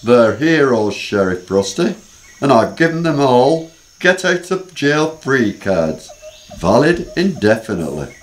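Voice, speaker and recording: A man's voice: medium pitch, very animated, measured pace. An amateur actor playing a courteous, dignified king in a radio play. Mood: regal. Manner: stately, courteous. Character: gracious male king, dignified. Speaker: King Richard.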